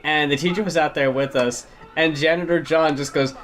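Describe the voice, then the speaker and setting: A man's voice: fairly high pitch, fairly even intonation, brisk, a bit bright. A young man co-hosting a casual riff on old commercials, joking, swearing and telling rambling stories. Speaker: co-host riffing on old commercials. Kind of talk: casual group riffing.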